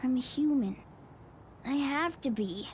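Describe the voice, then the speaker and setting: A small, proud male cat from a video game, voiced high and lively by a woman putting him on. He sounds indignant and sulky. Game music plays underneath. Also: slow pace.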